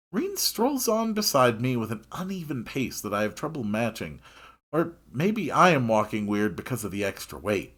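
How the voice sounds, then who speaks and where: A highly animated man narrates a visual novel in the first person. His delivery is theatrical and expressive, at an unhurried pace, with his pitch moving widely. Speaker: host reading a visual novel aloud.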